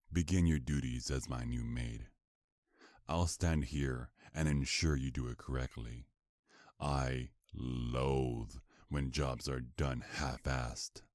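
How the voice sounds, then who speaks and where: A man playing a sinister, condescending vampire mafia boss in an ASMR roleplay, speaking in a formal, menacing, drawn-out way. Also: a bass voice, darkish tone, no echo, studio quality. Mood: cold threatening.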